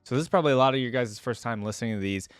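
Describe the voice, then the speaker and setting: A man's voice: medium pitch, very fast, clear, resonant tone, no room sound, studio quality. A man hosting a reaction video, chatting informally as he gives commentary. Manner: informal, conversational. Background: faint music.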